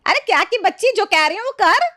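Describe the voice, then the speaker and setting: A woman's voice: fairly high pitch, very animated, normal speed, fairly bright tone, no echo, clean studio sound, voice pushed up. A woman voicing a harsh, scornful middle-aged mother-in-law who scolds and orders her maid about in a cartoon story. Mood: angry, sarcastic. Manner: scolding, commanding, sarcastic. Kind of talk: Hindi cartoon story character dialogue.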